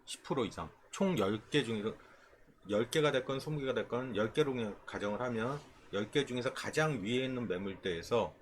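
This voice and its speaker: A man's voice: medium pitch, fairly quick, a little hiss or hum. A man giving an informal, explanatory tutorial as an instructor, often checking in with short rhetorical questions. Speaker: stock trading instructor. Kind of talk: informal screen-share tutorial explaining stock charts.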